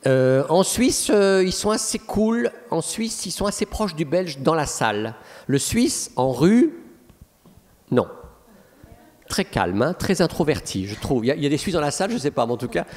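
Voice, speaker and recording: A Belgian man with a Belgian French accent talks in a loose, rambling way, often restarting his sentences. His voice sits slightly high for a man. The long reverb of a lecture hall trails after his words. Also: very animated, normal speed, darkish tone. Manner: chatty, frequent restarts and "voilà". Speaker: guest speaker, humorist. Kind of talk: relaxed anecdotal talk answering questions.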